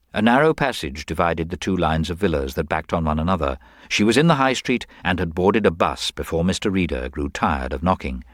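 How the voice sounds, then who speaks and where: A man with a low voice narrates a detective story. His scripted reading has lively, varied intonation, and the recording is dry and close. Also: no echo.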